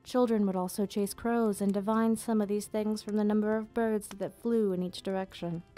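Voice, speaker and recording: A woman playing a gentle, nostalgic vampire hostess in an ASMR roleplay, speaking softly in slightly formal, unhurried explanations. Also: mid-range voice, steady tone, natural voice. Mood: fond, explaining. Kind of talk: ASMR roleplay, soft storytelling. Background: background music.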